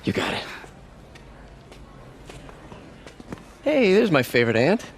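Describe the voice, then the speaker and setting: A man's voice: sits high, wildly swooping pitch, quick pace, creaky, slight background noise, exaggerated voice. A man playing an eager, awkward, good-hearted young superhero in a video game, with a casual, earnest, boyish delivery. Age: young adult.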